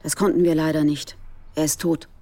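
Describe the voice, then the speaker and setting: An actress playing a controlled, tough police detective around forty in a radio drama, cool, pointed and dry. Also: female voice, sits low, little pitch movement, a little fast, mellow. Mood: sober, matter-of-fact. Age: 40s. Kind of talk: naturalistic German radio drama acting.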